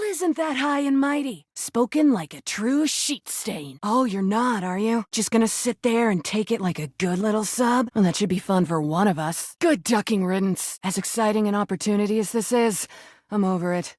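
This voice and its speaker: A woman's voice: medium pitch, husky, no room sound, clean studio sound. A woman voicing a crude, flirty, mocking rubber duck come to life in a video game. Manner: sassy, mocking, combative, suggestive. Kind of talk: video game character dialogue.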